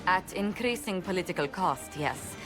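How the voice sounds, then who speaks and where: Game role: actress as careful woman diplomat, composed, formal, lowish register, music underneath. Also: lively intonation, normal speed, natural voice. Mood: composed, cautious. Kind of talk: video game cutscene drama.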